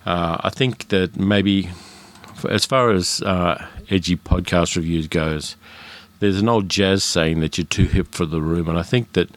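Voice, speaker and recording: Deep-voiced Australian man hosting a podcast. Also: unhurried. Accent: educated Australian. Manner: frank, chatty, with fillers and restarts. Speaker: podcast host. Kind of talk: casual solo podcast monologue.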